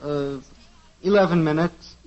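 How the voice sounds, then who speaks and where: An actor playing a pushy, wisecracking teenage son in a 1950s radio sitcom, on a dull lo-fi old radio recording. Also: male voice, fairly high pitch, measured pace, darkish tone, slight background noise, lightly acted. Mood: urgent.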